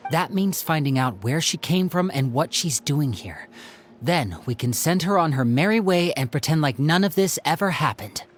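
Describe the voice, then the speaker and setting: A man's voice: a little high, expressive, moderate pace, a little hiss or hum, close to an everyday voice. A man playing an earnest, protective young older brother in a dramatic game scene, articulate and courteous. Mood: businesslike, earnest.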